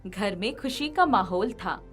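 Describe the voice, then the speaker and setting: Slow, expressive woman storyteller. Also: medium pitch, soft background music. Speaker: narrator. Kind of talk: scripted story narration.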